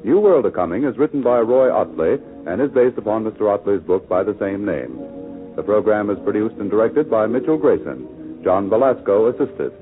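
Animated 1940s radio announcer, male. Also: on the low side, moderate pace, faint music behind. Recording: tinny old 1940s radio broadcast.

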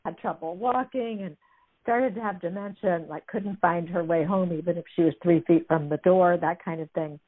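A woman's voice: fairly low pitch, moderate pace. A woman chattily retelling stories as a guest, amused, with many fillers. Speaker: guest discussing a book. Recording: tinny phone-like line.

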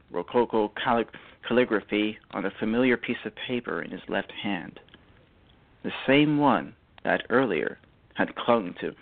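Slow, halting male narrator. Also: lowish voice, gravelly, phone-quality sound. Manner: halting, often repeats words. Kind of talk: scripted literary narration for radio drama.

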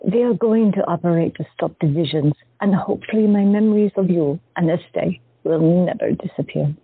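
A woman playing a gentle, hesitant young artist in an audio drama. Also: on the low side, a little fast, breathy edge, dry recording. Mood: tender, wistful. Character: soft-spoken young woman artist, wistful. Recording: dry, tinny phone-like sound.

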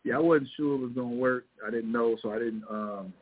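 Male radio host, mid-range voice, easygoing drawl full of fillers, heard through a thin phone line. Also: mid-range voice. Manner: loose, drawn-out delivery peppered with "man" and "uh". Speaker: podcast host and Bible teacher. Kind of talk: casual online radio talk. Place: online radio call-in show. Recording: tinny phone-line call.

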